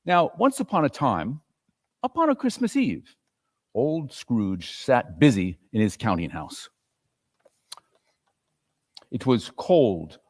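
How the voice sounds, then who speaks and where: A man's voice: mid-range voice, darkish tone. A man narrating a classic story as a dramatic reading, with a theatrical, emphatic delivery. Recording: reverberant hall, live reading.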